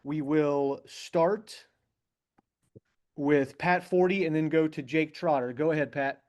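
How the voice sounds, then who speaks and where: A man moderating a press conference, introducing the speaker and calling on reporters in a brisk, functional way. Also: fairly high pitch, fairly even intonation, darkish tone.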